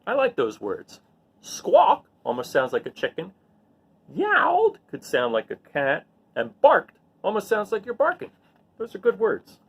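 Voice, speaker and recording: A man's voice: sits high, measured pace, vocal fry, slightly rough. A man reading a picture book aloud expressively, with casual asides. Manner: expressive, acts out the lines. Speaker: read-aloud storyteller.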